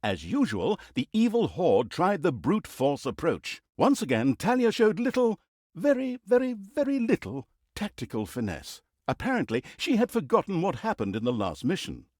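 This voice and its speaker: A theatrical male storybook narrator for a fantasy video game, sardonic and mock-grand. His voice sits a little high for a man and swoops dramatically across a very wide pitch range. The sound is close and dry. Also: wildly swooping pitch, normal speed, darkish tone, no room sound. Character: wry, theatrical male storybook narrator mocking heroes and villains.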